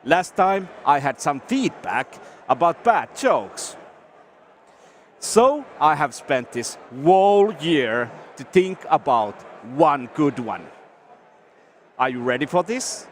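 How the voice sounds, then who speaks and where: A man with a Finnish accent hosting an awards ceremony, giving a formal speech with deliberate, self-deprecating jokes. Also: on the high side, sing-song, a little hiss or hum. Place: historic hall, live event.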